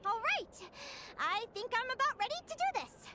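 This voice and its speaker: A woman's voice: very high-pitched, big pitch swings, moderate pace, heavy background noise. A woman playing an earnest, determined young fairy girl in a video game, eager and insistent. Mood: hopeful, eager.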